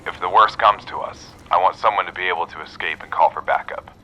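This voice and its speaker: Military officer, game log: very deep, bright and forceful, formal, confident, duty-minded. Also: male voice, quick pace, highs cut off. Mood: measured, resolute. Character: male military expedition officer, confident, dutiful, recording a field log. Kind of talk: scripted video game log narration.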